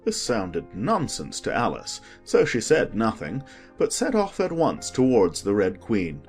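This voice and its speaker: Animated storybook narrator over soft music. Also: medium pitch, unhurried. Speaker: audiobook narrator.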